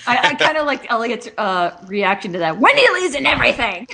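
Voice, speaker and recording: A woman's voice: fairly high pitch, sing-song, a little fast, gravelly, bright, forceful tone, slightly rough. A woman co-hosting a casual group chat among fans. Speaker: co-host of a fan commentary.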